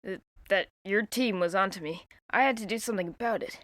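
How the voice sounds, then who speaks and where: A sulky young villain, put on by a woman, whining defensively as he confesses. The voice stays near her everyday pitch, with only a little acting on top. The recording is dry and close. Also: brisk, a bit bright, no room sound, natural voice. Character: sulky young male villain, mayor's son.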